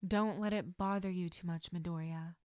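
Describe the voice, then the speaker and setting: A woman voicing an encouraging adult female martial arts teacher in measured, instructive story dialogue. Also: lowish voice, steady tone, a little mellow, dry recording, clean studio sound, lightly acted. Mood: calm, reassuring. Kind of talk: audiobook-style character dialogue.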